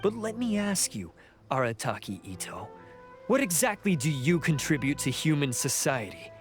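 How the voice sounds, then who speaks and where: Scornful, expressive blue oni bandit. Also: male voice, a little high, moderate pace, faint music behind. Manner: scornful, declamatory. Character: bitter, resentful young male blue oni bandit. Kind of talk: video game voice acting.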